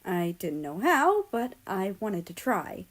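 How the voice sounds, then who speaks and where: A woman reading fiction aloud as an expressive narrator. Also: mid-range voice, slow. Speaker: story narrator.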